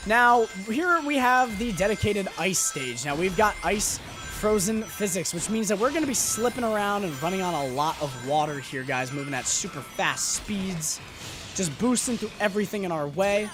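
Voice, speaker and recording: Man streaming a game, voice going hoarse. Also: high-pitched, lively intonation, moderate pace. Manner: rambling and upbeat, with frequent fillers and restarts. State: losing his voice. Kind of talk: live gameplay commentary. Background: loud game music.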